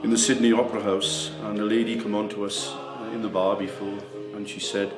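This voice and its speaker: A man's voice: lowish voice, level tone, brisk, echoey room, over music, rough recording. Chuckling Welsh man telling choir stories. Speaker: male voice choir member. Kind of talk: casual storytelling interview.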